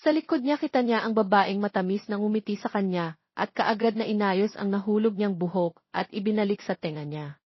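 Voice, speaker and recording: A woman's voice: medium pitch, a little fast, breathy edge, dry recording. Woman narrating, dull dry recording. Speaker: audio story narrator. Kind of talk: scripted dramatic story narration.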